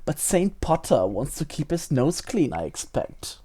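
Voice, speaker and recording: A woman's voice: low-pitched, big pitch swings, a little slow, dark tone, slightly rough, exaggerated voice. A woman playing a spoiled, sneering schoolboy bully with a haughty, taunting, theatrical delivery. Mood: sly, taunting.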